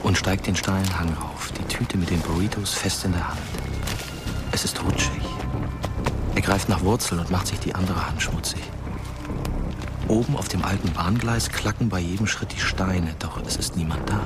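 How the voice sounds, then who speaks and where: An actor playing a wistful dead teenage boy, narrating a radio drama quietly and intimately over music. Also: deep voice, moderate pace, lightly acted. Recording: music bed behind the voice. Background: loud atmospheric music.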